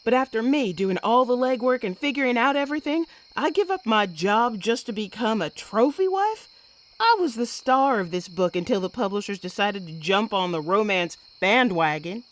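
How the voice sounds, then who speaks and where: A woman's voice: fairly high pitch, animated, normal speed, natural voice. Woman acting a stubborn frontier lady sheriff. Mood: indignant. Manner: folksy frontier talk, assertive. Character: stubborn, sharp, defiant Old West woman sheriff. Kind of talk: western comic-book audio drama acting.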